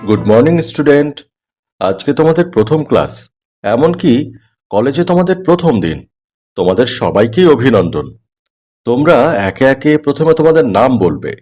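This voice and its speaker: A man's voice: medium pitch, sing-song, normal speed, darkish tone. A man voicing a disapproving, condescending college teacher in a moral-tale cartoon, lecturing his students. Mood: formal, welcoming.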